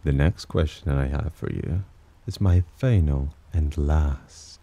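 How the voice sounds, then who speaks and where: Casting: male actor as condescending demon for ASMR roleplay, extremely low and husky, menacing yet teasing. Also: slow, heavily acted. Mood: restrained, coaxing. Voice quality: very deep, dark, husky. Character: haughty, teasing male demon, disdainful of humans, possessively protective. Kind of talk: ASMR roleplay, intimate scripted monologue.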